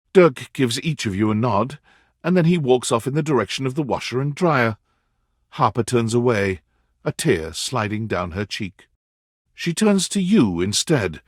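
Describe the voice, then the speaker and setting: A man narrating a video game in a measured, articulate, scripted storytelling delivery. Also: medium pitch, no echo, studio quality. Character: male narrator of a relationship drama game. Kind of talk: scripted second-person narration.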